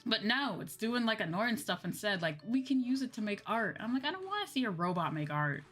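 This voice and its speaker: A woman's voice: fairly high pitch, sing-song, brisk, fairly bright tone, faint music behind. A woman livestreamer chatting casually while gaming, blunt and swearing freely, repeating her points for emphasis. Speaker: livestream host, VTuber.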